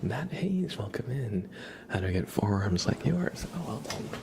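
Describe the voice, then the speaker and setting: A man hosting an ASMR livestream, speaking in a hushed, breathy whisper as he chats with viewers. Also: lowish voice, sing-song, normal speed, background noise. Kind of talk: ASMR, live chat with viewers.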